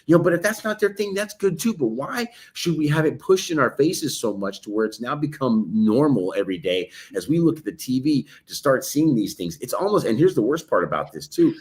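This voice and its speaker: A man's voice: mid-range voice, animated, a little fast, few pauses, a little mellow. A man co-hosting a live podcast, opinionated and arguing through rhetorical questions, casually calling his partner bro. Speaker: podcast co-host.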